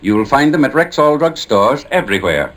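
A male radio announcer reads a scripted advertisement in 1950s style. His medium-pitched voice moves in lively swings, with formal, careful enunciation, on a vintage broadcast recording. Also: normal speed, loud music behind the voice. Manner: formal, enunciated sales delivery. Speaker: commercial announcer speaking as a druggist.

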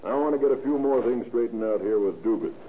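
An actor playing a brisk, authoritative deputy sheriff in a 1930s radio crime drama. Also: male voice, mid-range voice, natural voice. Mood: commanding. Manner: brisk, authoritative, matter-of-fact. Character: businesslike male deputy sheriff leading a murder investigation. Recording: tinny old radio recording, faint noise.

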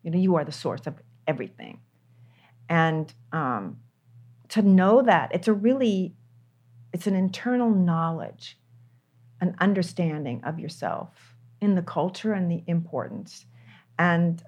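A woman's voice: on the low side, lively intonation, unhurried, dull audio. An older woman, a screenwriter, talking candidly in an interview, stressing her points by repeating words. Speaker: screenwriter guest.

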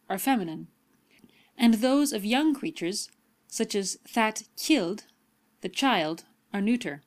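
A woman reading a language textbook aloud as a volunteer narrator, with careful, instructional enunciation. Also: medium pitch. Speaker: volunteer audiobook reader.

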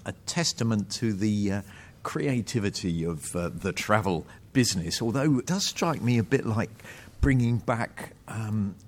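A man co-hosts with dry, halting commentary. His voice sits low, and his thoughts come out in hesitant pieces. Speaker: podcast co-host. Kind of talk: conversational commentary.

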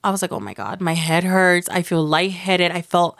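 A woman's voice: fairly low pitch, fairly even intonation, moderate pace, fairly bright tone, no echo, clean studio sound. A woman co-hosting a casual podcast chat, sounding nasal and congested from a cold, chatty and laughing often. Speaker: podcast co-host.

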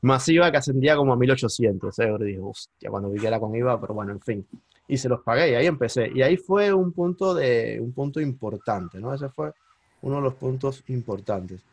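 A man with a Cuban accent, a podcast guest telling his story in lively casual conversation and bursting into high exclamations at the big moments. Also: a little fast, breathy edge. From Cuba. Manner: animated, jumps into high-pitched exclamations when retelling moments.

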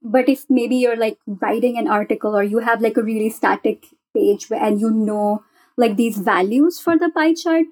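A woman's voice: on the high side, normal speed, darkish tone, rough recording. A woman guest developer explaining things casually, with gushing enthusiasm.

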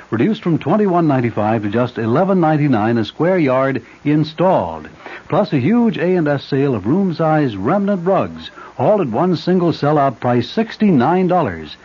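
A man's voice: a little high, breathy edge. An American man reading a hard-sell retail radio commercial. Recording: muffled old radio recording.

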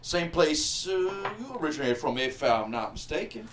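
A man plays a small-town Southern sheriff, sly and needling. The voice sits fairly high and swings around in folksy, insinuating turns, as in a screenplay drama read, with faint noise behind it. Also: sing-song. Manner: folksy, insinuating, drawn out with pauses.

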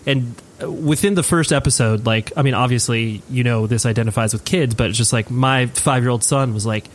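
Male podcast host, thirties, mid-range pitch. Casual, slangy, rambling conversational delivery. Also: fairly quick, a little hiss or hum.

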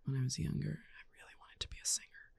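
A woman whispers close into an ASMR microphone, her voice husky and thick with gravelly fry. She talks frankly and crudely in an intimate podcast chat, and the sound is dry and close with no room around it. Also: low voice, flat delivery, quick pace, a little mellow, dry recording. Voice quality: strains her voice. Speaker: podcast co-host. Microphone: special ASMR microphone.

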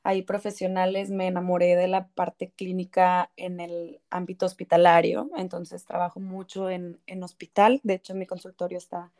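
Soft-spoken Mexican woman psychologist. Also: on the low side, flat delivery, moderate pace, hardly any pauses. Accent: Mexican Spanish. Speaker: clinical psychologist, guest. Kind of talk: casual podcast interview.

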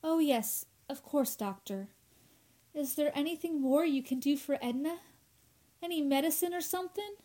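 Woman acting a high-voiced, anxious young roommate. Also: moderate pace, mellow, close to an everyday voice. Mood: worried, pleading. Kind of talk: radio horror drama acting.

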